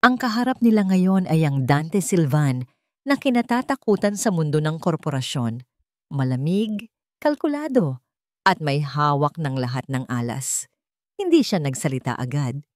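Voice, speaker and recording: A woman narrating a scripted dramatic story in measured, suspenseful, deliberate phrases. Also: low voice, a little mellow, dry recording, clean studio sound.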